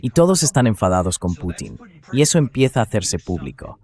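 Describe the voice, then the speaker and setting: A man's voice: on the low side, sing-song, a little fast, a little mellow. A synthetic AI man's voice reading a dubbed translation of explanatory military commentary.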